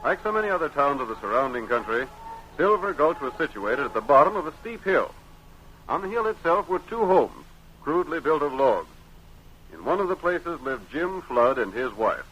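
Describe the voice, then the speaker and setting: A man's voice: a little high, big pitch swings, normal speed, a bit bright. An American man narrating a 1930s radio serial in a scripted, scene-setting style. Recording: noisy 1930s radio recording.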